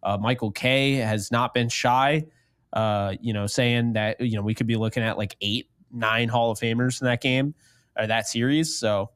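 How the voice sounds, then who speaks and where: A man's voice: medium pitch, steady tone, normal speed, a bit bright, clear, resonant tone, no echo, clean studio sound. A man in his late twenties chatting casually as co-host of a sports talk show. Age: late 20s.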